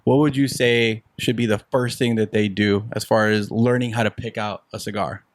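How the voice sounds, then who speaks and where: A man's voice: lowish voice, steady tone, normal speed, dry recording, studio quality. A young adult man hosting a casual podcast interview in a cigar shop, talking in a laid-back, friendly way and mixing in Spanish words. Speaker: podcast host.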